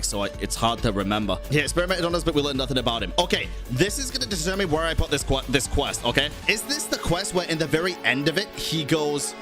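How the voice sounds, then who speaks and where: Higher-voiced male streamer chatting casually. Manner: chatty, emphatic, repeats phrases, swears casually. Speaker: streamer and YouTube host. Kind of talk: casual commentary to a live chat.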